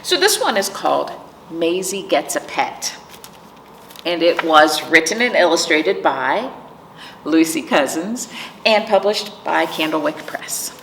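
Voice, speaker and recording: A woman reads aloud to young children in an animated, unhurried way, with some creak in her voice. She hosts a storytime, pausing to explain things simply and put questions to the listeners. The sound is roomy and a little distant from the mic. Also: sits low, a little hiss or hum. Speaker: library storytime host reading picture books.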